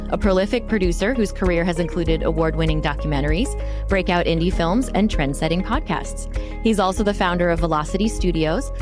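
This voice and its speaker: A woman hosting a podcast interview, introducing her guest and asking questions. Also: on the low side.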